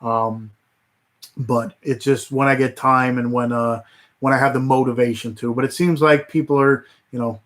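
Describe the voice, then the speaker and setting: Rambling man on a livestream, voice going hoarse. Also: mid-range voice, fairly even intonation, moderate pace, fairly bright tone. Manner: rambling, many fillers and restarts. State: voice getting hoarse. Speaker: livestream host. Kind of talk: casual livestream chat.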